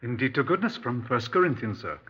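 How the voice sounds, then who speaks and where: Talkative Welsh police sergeant, old radio sound. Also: male voice, medium pitch, big pitch swings, a little fast, a bit bright. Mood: emphatic. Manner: quaint, emphatic, tag questions. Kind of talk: 1950s radio drama acting. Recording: dull, husky-edged 1950s radio recording.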